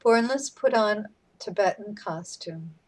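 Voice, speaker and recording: A woman's voice: mid-range voice, animated, unhurried, poor audio. A woman narrator reading stage directions in a clipped, scripted style.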